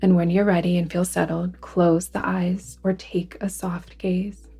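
A woman guiding a relaxation meditation in a soothing, deliberate manner, with phrases that sometimes fall to a hush. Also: lowish voice, level tone, husky, soft background music. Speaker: yoga nidra guide. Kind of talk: guided relaxation meditation narration.

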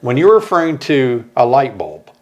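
A middle-aged man from Tennessee talks about beekeeping in a relaxed, folksy way. His pitch rises and falls a lot, and he tends to ramble through his stories. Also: normal speed. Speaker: beekeeping channel host. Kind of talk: casual chat.

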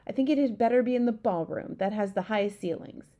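A woman's voice: on the high side, quick pace, warm tone, close to an everyday voice. A woman voicing a composed, regal older queen who speaks formally. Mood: poised. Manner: formal, poised.

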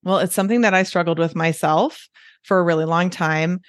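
Low-voiced Midwestern woman chatting. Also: fairly even intonation, fairly quick, no room sound, studio quality. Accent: Midwestern American. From United States. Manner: chatty, with frequent fillers and light laughs. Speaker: guest, weight loss coach. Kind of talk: casual podcast conversation.

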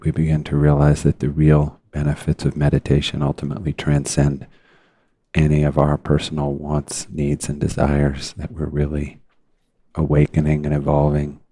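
A man leading a guided meditation in a measured, deliberate way, leaving long pauses between phrases. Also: a bass voice, dark tone, breathy edge, dry recording. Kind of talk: unscripted guided meditation talk.

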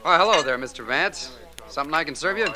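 A man's voice: a little high, expressive, fast talker, lightly acted. An actor playing a chummy, slangy soda-counter man in 1940s radio drama style. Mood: breezy. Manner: breezy diner slang. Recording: noisy old radio recording.

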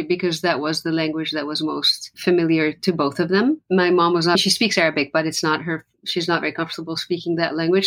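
A woman's voice: lowish voice, fairly bright tone. A woman from Lebanon, a musician guest in an interview, talking in an earnest, reflective way with frequent restarts. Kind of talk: conversational interview.

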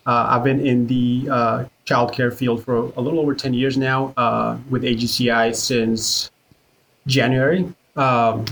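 A man talks in an easygoing, plain voice at middle pitch, filling his pauses with small hesitations as he answers questions. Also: vocal fry, rough recording. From Ecuador. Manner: relaxed, many "uh" fillers. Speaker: childcare worker guest. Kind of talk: podcast interview.

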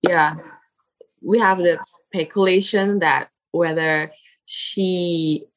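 Woman, young adult, Indonesian native speaker, interview guest, slow unhurried pace, halting speech with many fillers. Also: fairly low pitch, fairly even intonation, low-quality recording. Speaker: guest, English learner. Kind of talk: casual interview conversation.

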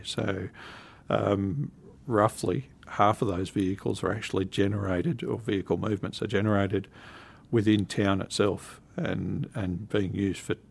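A man, a council director, answering interview questions in a measured way with frequent ums. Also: fairly low pitch, vocal fry. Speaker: council technical services director.

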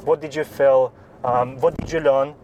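A man's voice: medium pitch, fairly even intonation, normal speed, a little mellow, lo-fi sound, slight background noise. A man hosting an interview show in a conversational way, introducing and questioning as he goes.

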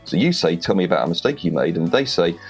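A Welsh man with a very low voice talks plainly and with confidence as a podcast guest. He gives firm, practical advice, and faint music sits behind him. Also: very animated, fast talker, slightly rough. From Wales. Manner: direct, confident, plain-spoken. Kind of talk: interview advice. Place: home in rural Wales.

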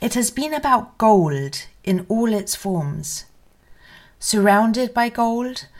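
A woman narrating a first-person memoir as a scripted literary reading. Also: medium pitch. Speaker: reader of memoir excerpts.

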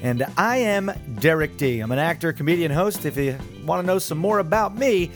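A man's voice: a little high, expressive, normal speed, faint music behind. A man hosting a podcast in a casual, upbeat, chatty interview style. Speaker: podcast host.

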